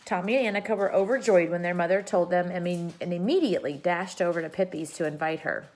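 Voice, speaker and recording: A woman reading a children's story aloud with expressive narration. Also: on the low side, fast. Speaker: storybook reader.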